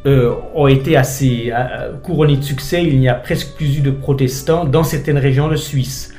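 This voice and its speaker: A middle-aged man from Switzerland, a pastor being interviewed on the radio, speaking thoughtfully with frequent pauses and hesitations. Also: mid-range voice, warm tone, echoey room, soft background music.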